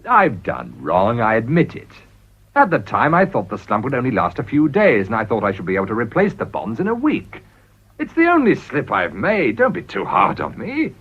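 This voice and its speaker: A man's voice: mid-range voice, huge pitch swings, moderate pace, slightly rough, heavily acted. An actor playing a ruthless, desperate middle-aged businessman in a radio drama, with a British accent and a blustering, wheedling delivery. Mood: pleading. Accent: British English. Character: ruthless, desperate, smooth-talking male businessman and political climber. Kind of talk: radio play acting. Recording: dull, muffled old radio recording.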